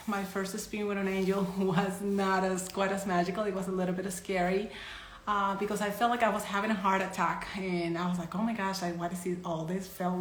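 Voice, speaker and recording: Colombian-accented woman in an echoey room. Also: medium pitch. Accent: Colombian Spanish. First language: Spanish. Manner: chatty, loose, with frequent restarts and laughs. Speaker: psychic medium and energy healer. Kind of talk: casual live-stream talk to followers.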